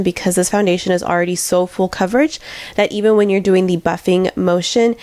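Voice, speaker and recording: Congested young woman, low and nasal. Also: a little mellow, dry recording. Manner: chatty, step-by-step explaining. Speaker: beauty vlogger. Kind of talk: makeup tutorial voice-over.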